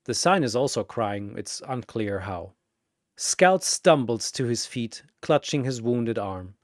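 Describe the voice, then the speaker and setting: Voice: medium pitch, lively intonation, slow pace, no echo, clean studio sound. A narrator reading a scripted battle story in a dramatic storytelling style.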